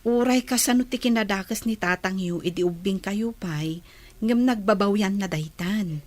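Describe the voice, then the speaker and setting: A woman playing a compassionate, forgiving wife in a radio drama, sympathetic and softly urging. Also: mid-range voice, moderate pace, lightly acted.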